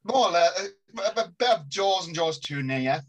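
Chatty man, fairly high voice. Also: fairly quick, muffled recording. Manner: relaxed, amused, reads out trivia facts. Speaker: podcast co-host. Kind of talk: casual chat.

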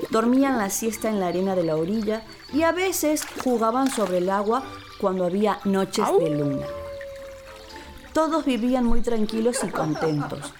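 Female storyteller, mid-pitched, theatrical and expressive children's narration over background music. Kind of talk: scripted dramatic storytelling for children.

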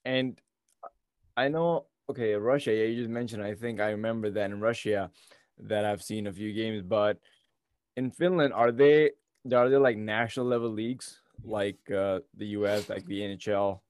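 A man's voice: on the low side, fairly even intonation, normal speed, darkish tone, smooth, dry recording, clean studio sound. A man hosting an interview podcast, talking conversationally and asking rambling questions. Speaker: podcast host.

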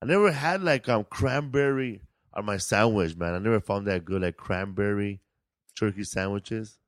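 A man's voice: on the low side, normal speed, dry recording, clean studio sound. A man hosting a casual podcast, chatting in a laid-back, slangy, repetitive way. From California. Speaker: podcast host. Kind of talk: casual comedic podcast chat.

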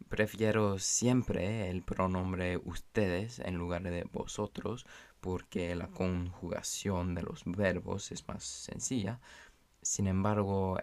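A man who is a native English speaker, talking Spanish with an English accent, hosting a language-learning podcast in a measured, explanatory way with light jokes. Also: low-pitched, vocal fry. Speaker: podcast host. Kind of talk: scripted language-learning monologue.

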